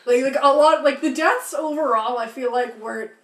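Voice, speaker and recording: A woman's voice: sits high, normal speed, a little hiss or hum. A woman co-hosting a podcast, casually talking through a film in a candid, sweary way. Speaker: podcast co-host. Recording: roomy echo.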